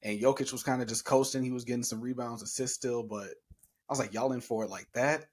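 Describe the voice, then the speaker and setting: Husky man joking about basketball. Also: medium pitch, fairly even intonation, fast talker. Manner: joking, trash-talking. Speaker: podcast co-host. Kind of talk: casual sports talk.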